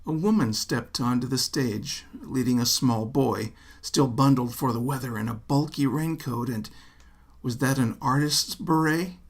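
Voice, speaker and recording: A man's voice: medium pitch, unhurried, gravelly, husky. A man narrating a scripted first-person story in a wry, wordy, theatrical manner. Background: plucked guitar music.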